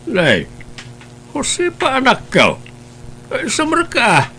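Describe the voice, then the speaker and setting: Brief: man doing an older grandfather, high-ish voice dropped lower, stern lecturing tone, light hum. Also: huge pitch swings, takes it slowly, pushed and bright, full character voice. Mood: kindly. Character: elderly grandfather, gentle and scolding.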